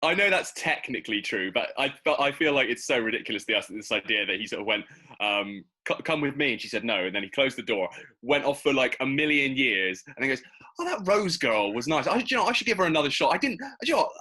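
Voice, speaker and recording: Fast-talking man with a bright, forceful voice. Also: mid-range voice, animated. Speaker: podcast host. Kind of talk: casual podcast chat about a TV series.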